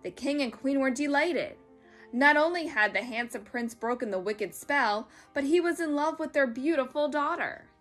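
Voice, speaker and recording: A woman reads a story for children in a high, bright voice. Her delivery is dramatic and expressive, with soft music underneath. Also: moderate pace.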